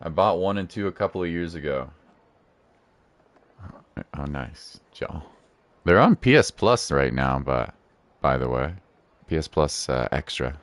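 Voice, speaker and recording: A man's voice: a bass voice, animated, measured pace, long pauses, dry recording. A man chatting casually on a game livestream, laid-back and playful, often repeating his phrases. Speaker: game live streamer. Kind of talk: casual livestream chat, commentary.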